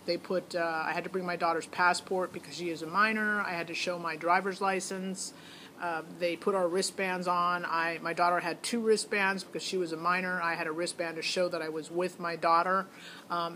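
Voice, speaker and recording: A woman's voice: lowish voice, fairly bright tone, slight background noise. A middle-aged woman giving a casual, rambling personal account as a parent, chatty and emphatic. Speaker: parent vlogger.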